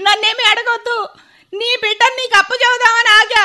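A woman's voice: very high voice, flat delivery, a little fast, pushed and bright, heavily acted. A woman playing a tearful young wife. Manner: weeping, pleading.

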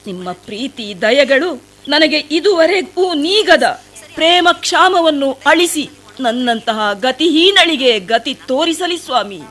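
A woman's voice: sits high, animated, takes it slowly, pushed and bright, exaggerated voice. A woman playing a pleading young forest woman. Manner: pleading, tearful, dramatic. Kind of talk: devotional radio play acting.

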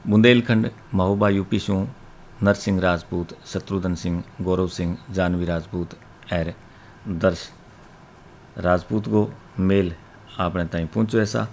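A man's voice: low-pitched, fairly even intonation, a little slow, a little hiss or hum. A man with a Rajasthani accent hosting a radio request show, reeling off long lists of listener names and places. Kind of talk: reading out listener letters.

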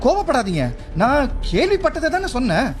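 A man playing a conciliatory government scientist in a scripted drama, speaking in a measured, placating way. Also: very high voice, sing-song, over music. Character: calm, conciliatory male government scientist friend.

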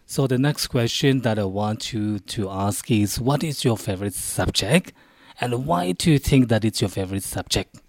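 A man's voice: lowish voice, lively intonation, normal speed, no room sound. A man, hosting a live radio interview in a formal, polite manner. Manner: formal, polite, addresses listeners directly. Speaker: radio host.

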